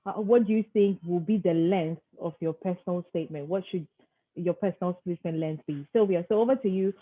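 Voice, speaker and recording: A woman moderating an online panel who speaks haltingly and stacks several questions at once. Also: fairly low pitch. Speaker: webinar moderator. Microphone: video call. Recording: tinny phone-like call line.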